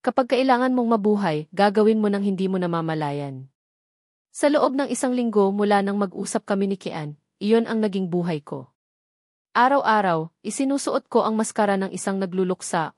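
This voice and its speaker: A woman's voice: medium pitch, a little mellow, no echo. Filipino woman narrating a story, dry sound. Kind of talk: dramatic first-person story narration.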